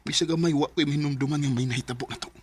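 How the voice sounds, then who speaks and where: A man's voice: a little high, steady tone, very fast, husky. An actor with a Filipino Bisaya accent playing a cold, dismissive young man in a curt radio drama style. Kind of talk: emotional radio drama acting.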